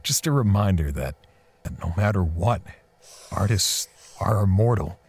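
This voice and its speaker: A man playing a bitter, defensive portrait artist in an audio drama, speaking haltingly with sighs and pauses. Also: low voice, animated, darkish tone, breathy edge, heavily acted. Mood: somber. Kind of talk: audio drama dialogue and first-person narration.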